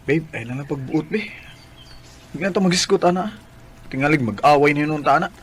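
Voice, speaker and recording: A man's voice: a little high, a little fast, lightly acted. A man with a Cebuano accent playing a sulky, pushy young boyfriend in a radio drama. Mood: reluctant. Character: young male sweetheart Marco, idle, sulky, pushy. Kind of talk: Bisaya radio drama acting.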